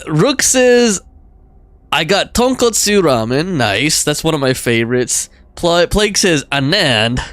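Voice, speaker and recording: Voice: medium pitch, a bit bright, dry recording. A game streamer chatting and reacting casually on a livestream, slangy and informal. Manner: slangy, informal, reading chat aloud. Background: game music.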